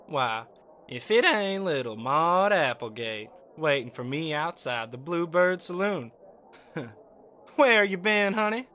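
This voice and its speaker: Man acting a cocky, bright-voiced young cowboy. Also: fairly high pitch, big pitch swings, slow, a little hiss or hum, exaggerated voice. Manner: cocky, folksy Western talk. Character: swaggering young male Wild West cowboy sweetheart. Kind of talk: radio drama acting.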